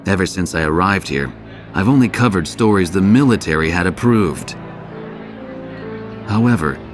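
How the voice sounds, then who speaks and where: A man playing a cynical, world-weary war reporter in a video game, narrating in a measured, wry way. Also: low voice. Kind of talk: scripted first-person narration. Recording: music behind the voice. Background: prominent background music.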